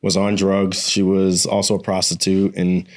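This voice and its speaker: A man's voice: low-pitched, completely flat delivery, moderate pace. A man from near Boston with a light Boston accent, telling his life story as a guest in a casual interview. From Massachusetts, near Boston. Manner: earnest, plainspoken, says "man" often. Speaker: guest, combat veteran and author. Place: home studio.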